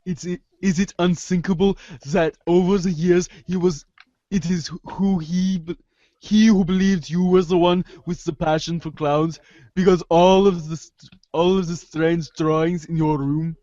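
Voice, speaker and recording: A man's voice: high-pitched, steady tone, measured pace, dark tone, no room sound, muffled recording. A man playing a pedantic, probing, wordy amateur psychoanalyst in reader's theater, formal and analytical, tripping over long phrases.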